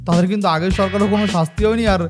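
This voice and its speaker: A man's voice: high-pitched, steady tone, racing. A man giving casual, chatty reaction commentary as a host, addressing his viewers like friends. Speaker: host of a political meme review channel. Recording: music bed behind the voice.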